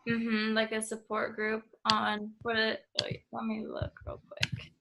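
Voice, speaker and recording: A woman's voice: on the high side, monotone, unhurried, vocal fry, noisy background. A young American woman speaking casually as a participant in a student discussion. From Idaho, United States. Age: young adult.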